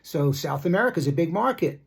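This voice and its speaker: Middle-aged male music commentator, husky voice, off-the-cuff, opinionated and meandering. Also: a little high, expressive. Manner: rambling, repetitive, halting asides; blunt, critical. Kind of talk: casual opinion monologue.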